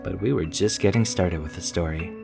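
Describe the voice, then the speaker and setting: A man with a low voice reads a first-person horror story. His delivery is deliberate and measured, like a storyteller. Plucked guitar music plays clearly behind him. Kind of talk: scripted first-person narration.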